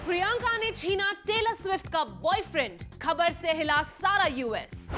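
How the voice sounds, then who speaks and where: A woman host reading punchy, teasing entertainment headlines as a scripted voice-over. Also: very high voice. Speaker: show host.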